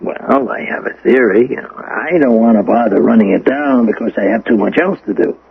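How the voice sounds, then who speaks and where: An actor playing a shrewd police lieutenant in an old-time radio drama, casually probing with sly, deliberate hesitations. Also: male voice, medium pitch, vocal fry, crowd noise, close to an everyday voice. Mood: sly, musing. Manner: hesitant, folksy, slyly deliberate. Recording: muffled vintage radio broadcast recording.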